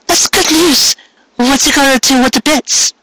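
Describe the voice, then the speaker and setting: Sweet affectionate mare, very high, small hushed voice, storybook pony wife, played by a male reader. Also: unhurried, a little hiss or hum, heavily acted, rough recording. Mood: delighted. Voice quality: little, breathy, hushed voice.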